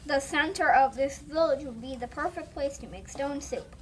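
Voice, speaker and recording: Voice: high voice, normal speed. A child reading a scripted character part aloud in reader's theater. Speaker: student reader. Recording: faint room noise.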